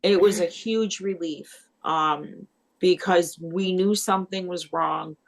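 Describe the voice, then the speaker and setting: A woman's voice: fairly low pitch, slow pace. A woman in her sixties in a casual group chat, talking in an earnest, reflective way. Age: 60s.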